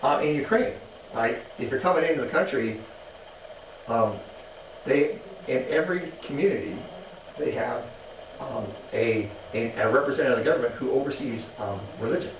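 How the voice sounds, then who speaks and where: A man teaching a missions class in a relaxed, halting way, with many restarts and fillers while telling stories. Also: mid-range voice. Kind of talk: informal classroom lecture. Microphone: distant room mic. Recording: echoey, distant, muffled classroom recording with noise.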